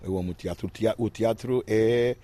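A man in his fifties from Guinea-Bissau, talking about his life in an interview, thoughtful and halting, with many restarts. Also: lowish voice, mellow. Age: 50s. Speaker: interviewee, actor and musician. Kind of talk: reflective personal interview.

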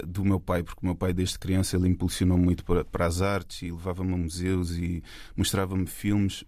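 A man's voice: low-pitched, darkish tone, no room sound. A man from Portugal, a filmmaker, talking in a casual interview with many fillers and restarts. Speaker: guest filmmaker.

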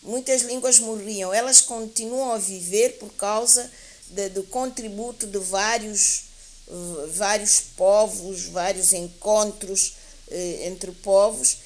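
A woman speaking as a guest teacher in a panel discussion, explaining in a deliberate, academic way and often correcting herself as she goes. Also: medium pitch, steady tone, darkish tone. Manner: deliberate, academic, hesitant with repeated self-corrections. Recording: clear background hiss.

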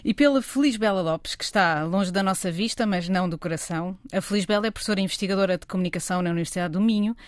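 A woman with a European Portuguese accent hosting a radio talk show, speaking in a lively, emphatic and rhetorical way into a studio microphone. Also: medium pitch, fast, few pauses, no echo. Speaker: radio show host and journalist.

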